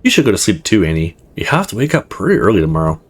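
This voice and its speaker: A man's voice: sits low, sing-song, racing, husky, pitched below the performer's own voice, heavily acted. A man voicing a sensible, sheepish young man in a visual novel, hesitant and reassuring. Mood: chiding.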